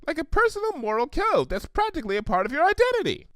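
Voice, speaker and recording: A man's voice: very high-pitched, wildly swooping pitch, fast, a bit bright, no room sound, studio quality, pitched above the performer's own voice, heavily acted. A man voicing a perky, earnest young female cartoon pony with a gushing, sing-song delivery for a story. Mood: bubbly.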